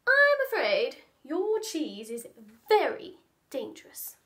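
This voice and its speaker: A woman's voice: sits high, wildly swooping pitch, takes it slowly, fairly bright tone, full character voice. A woman voicing an officious, crafty male mouse crime boss from a storybook, pompous and commanding.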